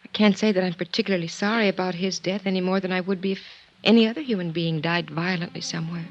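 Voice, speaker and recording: An actress playing a composed, quietly intense woman of twenty-one with a husky voice, in a 1950s radio drama. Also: on the low side, steady tone, fast talker, natural voice. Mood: wounded, searching. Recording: dull old 1950s radio recording.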